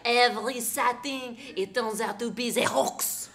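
A woman's voice: fairly high pitch, unhurried. A woman playing a smug, flighty French Canadian monster expert in a live comic radio play, theatrical and peppering her speech with French. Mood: knowing. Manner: theatrical, sprinkles French, tags phrases with "eh". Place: theater stage with live audience.

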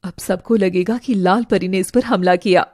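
A woman's voice: medium pitch, steady tone, normal speed, darkish tone, no echo, studio quality, voice pushed down, lightly acted. A woman voicing a vengeful, cunning young fairy in a cartoon, dramatic and intense. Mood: scheming.

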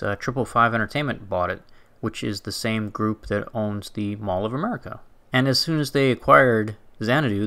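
Bright-toned male travel vlogger. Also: lowish voice. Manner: chatty, conversational, with fillers and restarts. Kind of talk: casual narrated walking tour.

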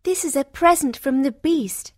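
A woman reading a storybook aloud and voicing Beauty, a gentle, kind young woman with a sweet, bright voice. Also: high voice, normal speed, no echo, clean studio sound, pitched above the performer's own voice, lightly acted. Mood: cheerful.

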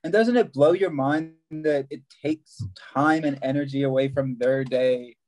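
A man's voice: mid-range voice, measured pace, darkish tone, slight background noise. A young man, a long-distance hiker, chatting over a video call from a small room, often restarting his sentences and laughing easily. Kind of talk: casual video call chat.

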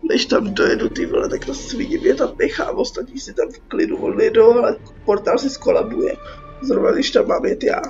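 A woman's voice: on the high side, gravelly, over music. A young Czech woman giving casual, sarcastic let's play commentary, informal and sweary. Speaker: gaming YouTuber. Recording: dull lo-fi mic with faint noise.

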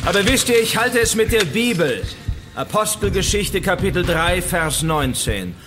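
A taunting villain in a radio thriller. His voice sits fairly high and swings widely as he lectures with theatrical, mock-pedantic relish. Music plays clearly underneath. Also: expressive, normal speed. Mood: taunting, preachy. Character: taunting, theatrical villain preaching guilt and redemption. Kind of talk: German radio crime drama acting.

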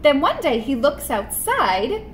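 A woman in her thirties presenting a storytime for young children in an animated, expressive way, recorded indoors a few steps from the camera. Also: high-pitched, slow pace. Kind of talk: read-aloud and tips for young children. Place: indoor room with painted mural wall. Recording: roomy echo, noticeable background noise.

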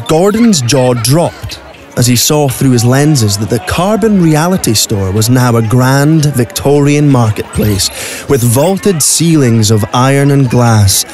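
A man's voice: mid-range voice, animated, a little slow, a little mellow, soft background music. A man reading scripted narration for an audio drama.

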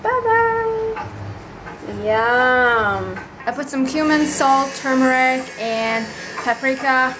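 A woman's voice: sits high, expressive, slow, noisy background. A woman in her twenties chatting enthusiastically through a casual cooking vlog in a small apartment kitchen, talking to a handheld camera. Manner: bubbly, enthusiastic, tastes food on camera. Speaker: vlogger.

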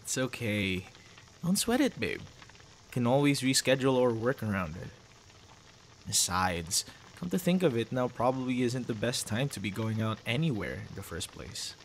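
A man playing a cute, teasing young boyfriend with a hidden sinister side in an ASMR audio roleplay, soft-spoken and casual. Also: mid-range voice, sing-song, normal speed, slight background noise, rough recording. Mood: concerned, casual.

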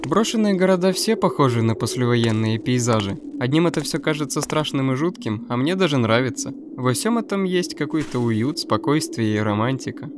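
A man's voice: mid-range voice, animated, brisk, few pauses, natural voice. Man acting a wary stalker guide, noisy ambience. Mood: reflective. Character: seasoned stalker guide, wary, world-weary, fond of the Zone. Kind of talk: dubbed animated web series dialogue.

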